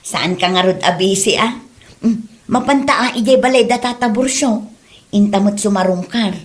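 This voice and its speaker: A woman's voice: mid-range voice, normal speed, lightly acted. A woman playing a chatty, nosy married villager in an amateur comedy drama, with a Filipino Ilocano accent. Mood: chatty, gossipy.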